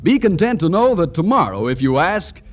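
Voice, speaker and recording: Animated American male radio announcer. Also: sits high, moderate pace. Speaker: commercial announcer. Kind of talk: 1940s radio advertising pitch.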